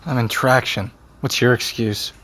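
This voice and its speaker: A man's voice: mid-range voice, steady tone, measured pace, a bit bright, lightly acted. Anxious, stubborn teenage boy, played by a man. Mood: alarmed, urgent. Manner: urgent questioning, swearing. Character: injured seventeen-year-old boy, anxious, stubborn, loyal. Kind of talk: naturalistic audio drama acting.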